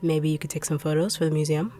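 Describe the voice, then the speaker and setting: A woman's voice: deep voice, steady tone, quick pace, mellow, faint music behind, lightly acted. A woman voicing a blunt, sardonic treasure-hunting bodyguard. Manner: brusque, sardonic, mocking. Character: blunt, sharp-tongued woman bodyguard turned betrayer. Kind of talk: audio roleplay drama.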